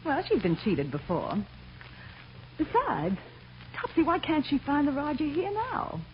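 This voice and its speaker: A woman's voice: sits high, wildly swooping pitch, measured pace, heavily acted. A woman playing a mischievous young ghost wife in an old radio comedy, breezy, playful, slangy and coaxing. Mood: coaxing, teasing. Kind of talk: 1940s radio comedy acting. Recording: dull, hissy old radio recording.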